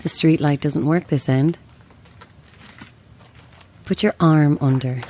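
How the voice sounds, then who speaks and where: A woman's voice: low-pitched, fairly even intonation, slow, mellow. An actress playing a bereaved mother who believes in ghosts, blunt and insistent, in a naturalistic audio drama.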